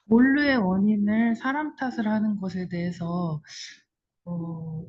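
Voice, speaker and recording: A woman with a dark, mellow voice of medium pitch, heard through a narrow, dulled connection. She speaks as a seminar participant, tentatively working out her thoughts aloud. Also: measured pace. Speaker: discussion participant. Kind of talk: seminar discussion, thinking aloud. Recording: tinny, narrow phone-like line.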